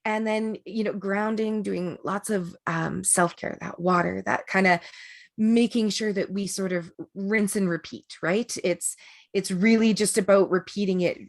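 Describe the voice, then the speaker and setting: A woman coaches a group through slow breathing and a meditation in a loose, conversational way. Her mid-pitched voice carries a gravelly edge, and she drifts into fillers and restarts as she talks. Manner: relaxed, chatty, with frequent fillers and restarts. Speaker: energy healer leading a group session. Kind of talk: guided breathing and meditation instruction.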